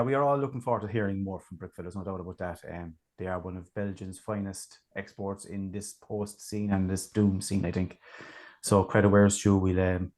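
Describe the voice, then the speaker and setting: A man hosting a casual music review chat, talking in a relaxed, chatty way with plenty of fillers. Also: on the low side. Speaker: podcast host.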